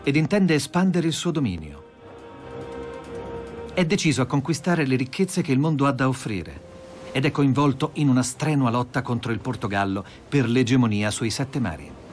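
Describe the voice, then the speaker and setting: A man narrating a history documentary in an expressive, storytelling delivery. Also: medium pitch, moderate pace. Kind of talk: scripted narration. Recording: music under the voice.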